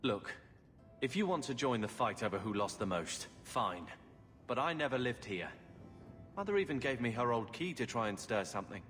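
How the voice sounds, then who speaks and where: A man's voice: medium pitch, big pitch swings, moderate pace, a bit bright, big-room sound, soft background music. An actor playing a resentful, sarcastic young man, a brooding younger brother in a video game. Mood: bitter, resigned. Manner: sullen, sarcastic.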